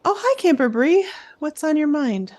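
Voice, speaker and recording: A woman's voice: high voice, sing-song, moderate pace, a little mellow. A woman playing a friendly, reassuring summer camp director who encourages her campers in an audio drama. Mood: upbeat, encouraging. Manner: encouraging, addresses listeners as campers.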